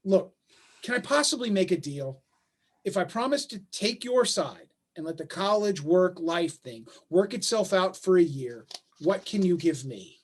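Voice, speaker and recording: Man acting high-voiced, halting, earnest dad. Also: lively intonation, slight background noise. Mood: bargaining. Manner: halting, earnest, self-deprecating. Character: baffled, conciliatory working-class father of a gifted son. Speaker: stage actor in a play reading. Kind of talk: staged play reading, naturalistic dialogue. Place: remote video call.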